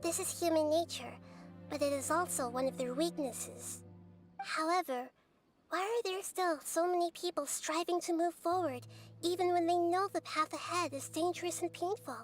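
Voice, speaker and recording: A woman voicing a small, wise girl deity in a video game, calm and earnest as she lectures. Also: very high voice, normal speed. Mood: reflective. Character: small girl god, gentle, wise, calmly stern. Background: faint game music.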